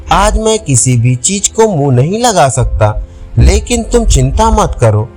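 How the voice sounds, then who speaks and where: A man's voice: high voice, darkish tone. A man narrating a scripted children's fable as a storyteller.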